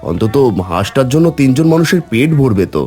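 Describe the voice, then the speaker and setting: Casting: man as weary, tender-hearted cartoon village dad, very lively melody in a middle register. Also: mid-range voice, quick pace, soft background music, close to an everyday voice. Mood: contented. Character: poor, kindly village fisherman father, weary yet gentle. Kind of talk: animated fairy-tale cartoon dialogue.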